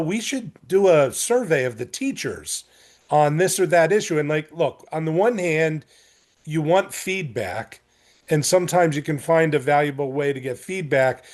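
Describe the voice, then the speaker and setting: A man's voice: fairly high pitch, a little mellow. An American man speaking off the cuff in a board chairs' meeting, explaining his view and advising in a conversational way. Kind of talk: extemporaneous meeting discussion.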